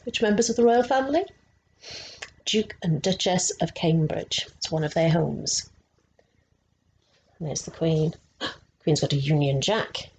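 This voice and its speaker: Slow, gentle woman reading a story. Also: on the low side, a little hiss or hum, rough recording. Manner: slow and expressive, asking the young listeners questions. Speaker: storyteller reading a picture book to children.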